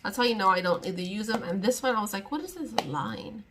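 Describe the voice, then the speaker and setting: A woman's voice: mid-range voice, animated, fast talker, very noisy. A young woman chatting casually to camera at home, rambling and exasperated as she talks through her makeup. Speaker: beauty vlogger. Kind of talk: casual sit-down chat to camera.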